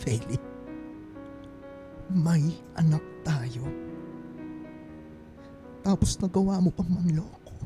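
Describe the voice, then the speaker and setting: A woman's voice: lowish voice, lively intonation, takes it slowly, pauses often, music in the background. A woman acting a hurt, suspicious Filipino husband in a radio drama, pleading and reproachful. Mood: betrayed, reproachful. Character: wounded, suspicious husband, a struggling small-shop mechanic.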